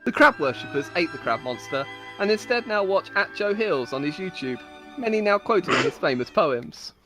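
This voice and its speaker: A woman's voice: fairly low pitch, animated, normal speed, a bit bright, faint music behind. A woman giving a playful, mock-solemn dramatic reading of her own comic stories, like a storyteller. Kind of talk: comedic dramatic reading of scripted tales.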